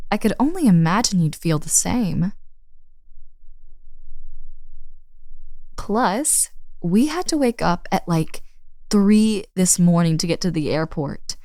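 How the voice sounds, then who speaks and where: A woman's voice: on the low side, warm tone, no echo, clean studio sound. A woman playing a friend's teasing, tired older sister in a casual, intimate roleplay audio drama addressed to the listener. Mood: tired, bossy. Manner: casual, playful, sighing, drawn-out words.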